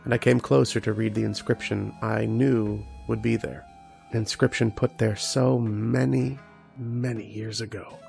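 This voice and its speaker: An actor playing a haunted, fearful scientist who narrates his ordeal in a dramatic, halting horror radio monologue. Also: lowish voice, little pitch movement, creaky, a little mellow, faint music behind. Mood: hushed dread. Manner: dramatic, halting, repeating phrases.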